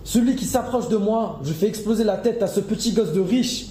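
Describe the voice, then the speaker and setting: A man voicing a desperate male intruder who shouts and accuses, speaking slowly and heavily. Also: high-pitched, echoey room, a little hiss or hum, pitched above the performer's own voice, lightly acted. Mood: furious. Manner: shouting, accusing, slow and heavy.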